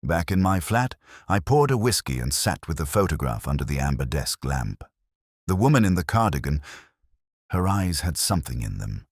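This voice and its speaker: A man playing an ageing, wry, brooding detective inspector who narrates a scripted mystery in measured, literary phrases. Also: a bass voice, very animated, slightly rough, dry recording, studio quality, exaggerated voice. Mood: brooding, reflective.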